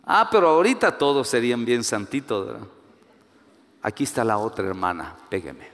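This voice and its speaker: A man's voice: medium pitch, moderate pace, big-room sound. A man from Guatemala with a Guatemalan Spanish accent, preaching a sermon with personal stories and acting out the voices he quotes. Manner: animated, rises to emphatic peaks, acts out quoted voices.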